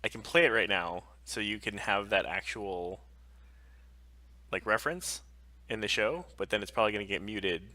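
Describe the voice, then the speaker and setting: A man's voice: on the low side, normal speed, bright, forceful tone, no room sound. A man co-hosting a stream, chatting in casual banter. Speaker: podcast and stream co-host.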